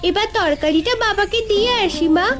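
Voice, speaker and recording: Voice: extremely high pitch, brisk, fairly bright tone, soft background music. An actor voicing a young girl, a dutiful daughter, in a cartoon with childlike delivery. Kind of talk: cartoon voice acting.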